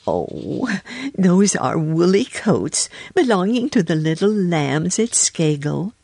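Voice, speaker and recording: Here is a storybook hedgehog washerwoman, played by a woman reader, small, stout and eager to please. She chatters in a deferential, fussy way, with very lively intonation and a slightly husky edge. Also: fairly low pitch, slow, dry recording, studio quality, voice pushed up, full character voice. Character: stout little hedgehog washerwoman, elderly, polite and anxious. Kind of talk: children's storybook character voice.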